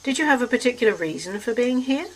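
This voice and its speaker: A woman's voice: fairly high pitch, lively intonation, fast talker, a little hiss or hum, pitched above the performer's own voice, close to an everyday voice. A reader voicing an arch, refined woman traveller who speaks in a poised, teasing way.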